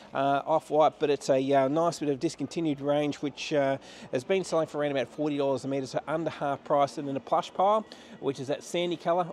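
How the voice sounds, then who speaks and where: Higher-pitched man presenting auction stock. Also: a little mellow, slight background noise. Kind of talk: unscripted sales walkthrough. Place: large warehouse, walking about.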